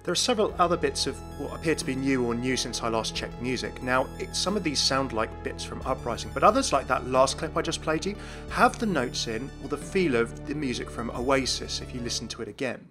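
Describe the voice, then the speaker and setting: A man's voice: mid-range voice, lively intonation, fairly quick, over music. A man giving chatty, upbeat video game news commentary, speculating aloud as he presents.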